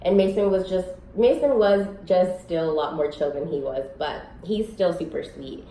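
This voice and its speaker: Young mom vlogging from home, kinda sick, low raspy voice, rambly, echoey room. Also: female voice, moderate pace, dark tone. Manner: chatty, rambling with many fillers. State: a little sick. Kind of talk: casual chat to camera. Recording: echoey room, faint noise.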